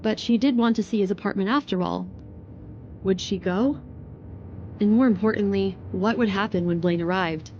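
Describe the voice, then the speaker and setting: A woman's voice: mid-range voice, moderate pace, a little mellow, muffled recording, a little hiss or hum. A woman giving scripted, dramatic narration of a story.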